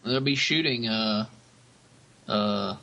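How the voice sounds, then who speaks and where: A man co-hosting a podcast, chatting informally in a halting way. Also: on the low side, gravelly, pushed and bright, muffled recording. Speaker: podcast co-host. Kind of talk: casual podcast chat.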